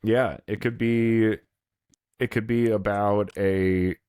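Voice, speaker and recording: A man's voice: fairly low pitch, fairly even intonation, slow pace, darkish tone, clean tone, no room sound, studio quality. A man co-hosting a podcast, thinking aloud in casual, slangy conversation. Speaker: podcast co-host. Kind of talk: casual brainstorming chat.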